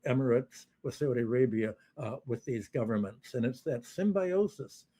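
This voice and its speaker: A man giving unscripted political commentary as an interview guest, halting as he searches for his words. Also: mid-range voice, expressive, mellow, slightly rough, no room sound.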